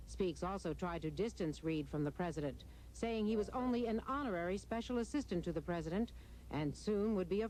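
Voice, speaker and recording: A woman's voice: medium pitch, darkish tone. A woman television correspondent reading a scripted political news report. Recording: noisy taped TV broadcast playback.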